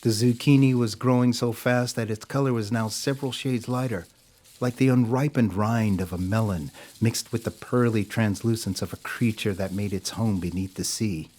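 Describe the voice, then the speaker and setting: Low-voiced man narrating an audio drama. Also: steady tone, a little mellow. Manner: measured literary storytelling.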